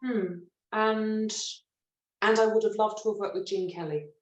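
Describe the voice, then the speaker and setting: A middle-aged woman from Britain, a stage actress telling lively, self-mocking stories in an interview and laughing often. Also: mid-range voice, a little slow, lo-fi sound. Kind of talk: relaxed anecdotal interview chat. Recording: roomy echo.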